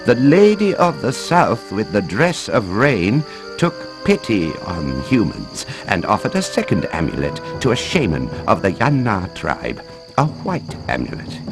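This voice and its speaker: An actor playing a mild, learned professor who explains ancient lore with hesitant little chuckles in a game cutscene. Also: male voice, on the low side, heavily acted, low-quality recording. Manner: expository storytelling with hesitant chuckles. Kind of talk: scripted video game cutscene acting.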